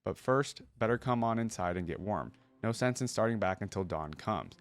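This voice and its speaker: Man reading as gentle, low-voiced celestial woman healer. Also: animated, normal speed. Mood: gentle counsel. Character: gentle, wise celestial woman healer guiding a lost youngster. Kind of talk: storybook character reading.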